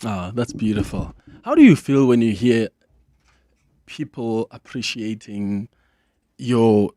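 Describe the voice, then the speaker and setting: A South African man hosting a radio interview, asking questions and reacting playfully with quick interjections. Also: mid-range voice, lively intonation, mellow, no room sound, clean studio sound. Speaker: radio host. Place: radio studio.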